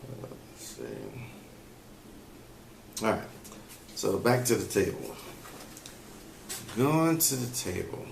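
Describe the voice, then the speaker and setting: A man's voice: fairly low pitch, lively intonation, slow pace, vocal fry, pauses often. A man hosting a solo talk show, giving relationship advice off the cuff and thinking aloud with frequent fillers. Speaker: podcast host. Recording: echoey room with faint ambient noise.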